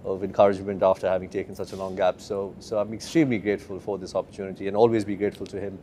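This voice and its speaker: A middle-aged man, an actor in an interview, talking in a halting way with many repeated words. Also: sits low, level tone, dark tone, a little hiss or hum. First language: not Urdu. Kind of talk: casual interview answers.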